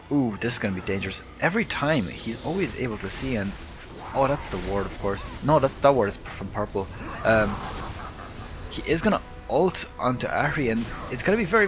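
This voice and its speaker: A soft, slightly lispy Irish man gives live play-by-play of a video game. His voice sits low and close on a headset mic. Faint game music plays underneath. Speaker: game commentator. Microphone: headset close to the mouth.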